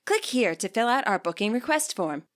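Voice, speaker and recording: A woman reading scripted fiction aloud as a podfic narrator, measured and clearly enunciated. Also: a little high, sing-song, a bit bright. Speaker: podfic reader.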